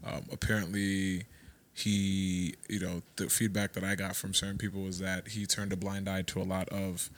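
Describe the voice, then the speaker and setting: Lower-voiced young man, easy podcast chat. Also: monotone, moderate pace, fairly bright tone, a little hiss or hum. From Brooklyn, New York. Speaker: podcast co-host. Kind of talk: casual banter.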